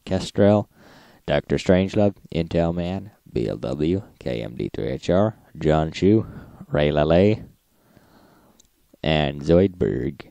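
Male host for informal log recap: mellow, dry-recorded, matter-of-fact delivery. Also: extremely low pitch, lively intonation, takes it slowly, vocal fry, no echo.

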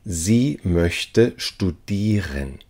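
A man reading short phrases for a language lesson, saying each one slowly and repeating it. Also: low voice, lively intonation, dark tone. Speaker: language teacher.

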